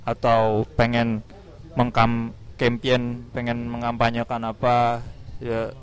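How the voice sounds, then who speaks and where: A man's voice: medium pitch, completely flat delivery, takes it slowly. A male radio host with a Javanese accent from East Java. His talk is colloquial and loose, like a casual interview. He keeps nudging guests to join in and speak. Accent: Javanese-influenced Indonesian, East Java.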